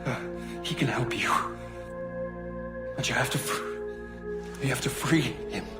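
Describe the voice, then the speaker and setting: An actor playing a frightened, bewildered, dying officer in a video game, pleading in stammering, broken, breathless phrases. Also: male voice, mid-range voice, slow pace, roomy sound, over loud music, close to an everyday voice. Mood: desperate pleading. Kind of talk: cinematic video game acting.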